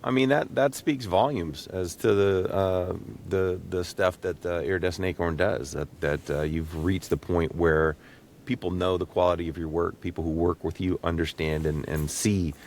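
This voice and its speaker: A middle-aged man hosting a casual interview, often halting and repeating words as he gathers his thoughts. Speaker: podcast host and interviewer. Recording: low rumbling background noise.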